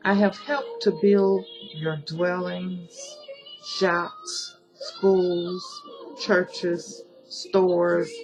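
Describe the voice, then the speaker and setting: A woman giving a solemn recitation of a protest poem, deliberate and pleading, pausing between short phrases. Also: on the low side, huge pitch swings, low-quality recording. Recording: music behind the voice. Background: music.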